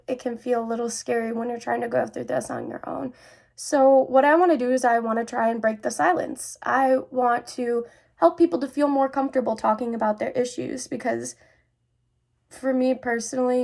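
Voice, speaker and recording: A woman's voice: a little high, vocal fry, a little mellow. A young adult woman speaking earnestly and confidingly, as a host sharing personal experiences. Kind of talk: personal talk to camera about mental health.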